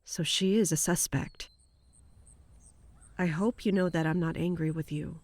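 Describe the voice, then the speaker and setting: A woman's voice: on the low side, steady tone, unhurried, darkish tone, dry recording, lightly acted. An actress playing a dutiful, exasperated female palace guard captain in an audio drama, speaking in a wry, formal way. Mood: guarded, subdued. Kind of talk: audio drama monologue and dialogue.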